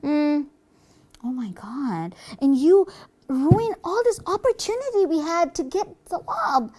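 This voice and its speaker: A woman's voice: high-pitched, big pitch swings, takes it slowly, mellow, exaggerated voice. A woman voicing an earnest, astonished pupil who scolds the devil in a children's puppet show. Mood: dismayed.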